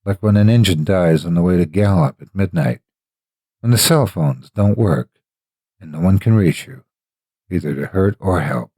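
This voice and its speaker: Male horror audiobook reader: low, gravel-edged husky voice, ominous and deliberate delivery, very dry studio sound. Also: a little mellow, no echo. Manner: deliberate, ominous dramatic phrasing. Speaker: horror story narrator. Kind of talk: scripted dramatic horror narration.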